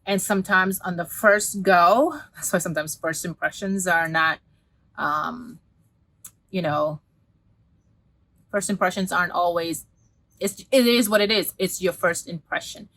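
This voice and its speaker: A woman's voice: on the low side, unhurried, pauses often, fairly bright tone, low-quality recording. A woman, talking casually to camera as a beauty vlogger, rambling with many restarts and fillers. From Guyana.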